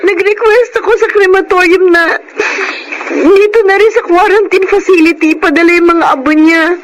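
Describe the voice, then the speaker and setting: A woman acting a grieving, respectful daughter and elder sister in a Filipino radio drama, with a Filipino accent and a tearful, halting delivery. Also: very high-pitched, steady tone, noisy background.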